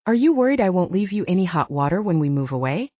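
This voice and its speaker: Woman voicing a teasing, smitten young man, mellow. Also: lowish voice, very animated, quick pace, dry recording, highs cut off. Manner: teasing, affectionate.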